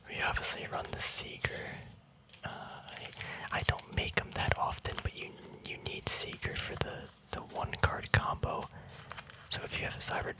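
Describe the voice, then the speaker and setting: A man whispers throughout in a breathy, voiceless hush. He talks casually in an ASMR style, murmuring his commentary as if thinking aloud, with a hesitant, unscripted flow. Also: normal speed, rough recording. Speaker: ASMR video creator. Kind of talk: ASMR, casual rambling commentary on a card deck.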